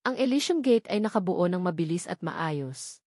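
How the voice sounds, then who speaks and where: A woman's voice: lowish voice, lively intonation, moderate pace, darkish tone, no room sound, clean studio sound. A woman reading a novel aloud as scripted audiobook narration.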